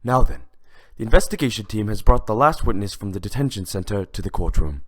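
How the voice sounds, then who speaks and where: A man's voice: fairly low pitch, expressive, brisk, fairly bright tone. A man playing a stern, formal authority figure in an amateur courtroom audio drama. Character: stern, formal male judge and gruff prosecutor.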